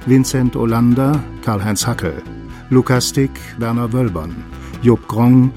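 A man's voice: mid-range voice, a little slow, warm tone. A man reading out the title and cast of a radio play as a scripted announcement. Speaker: credits announcer. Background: music.

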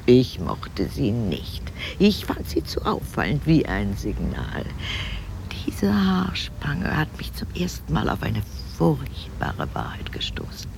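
An actress playing an appalled, prudish mother in a radio play, dwelling on her words with halting indignation. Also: very deep voice, wildly swooping pitch, creaky, in a whisper, exaggerated voice. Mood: bitter disapproval. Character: appalled, prudish mother obsessively recalling her daughter's scandals.

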